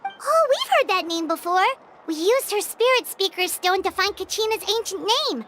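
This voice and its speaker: A woman voicing a tiny, perky, childlike fairy companion in a video game, squeaky and eager. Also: big pitch swings, moderate pace, noisy background. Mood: eager, hopeful. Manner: perky, refers to self in third person. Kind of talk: video game character dialogue. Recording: music clearly behind the voice.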